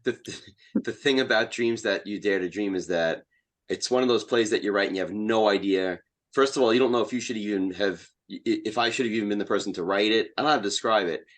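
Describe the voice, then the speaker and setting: A man speaking casually as an interview guest, in a halting, self-effacing way. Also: on the low side.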